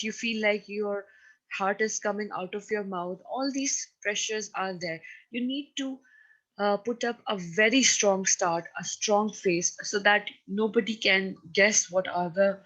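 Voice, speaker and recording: A woman with a Pakistani accent delivers a lecture in a steady, mid-pitched voice. She explains each point firmly and pauses to ask her listeners whether they are following. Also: rough recording. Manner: instructive, emphatic, checks in with listeners. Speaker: teacher. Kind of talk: recorded lecture on presentation skills.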